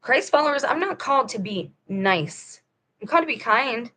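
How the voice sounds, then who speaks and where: A woman's voice: fairly high pitch, expressive, moderate pace, pushed and bright, a little hiss or hum. A woman talking straight to her viewers in a casual, rambling monologue, firm and preachy in tone. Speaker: vlogger.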